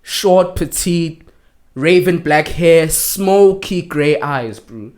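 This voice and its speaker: A man co-hosting a podcast, telling stories in an animated, slangy way. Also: fairly high pitch, slow. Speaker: podcast co-host. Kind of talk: casual chat.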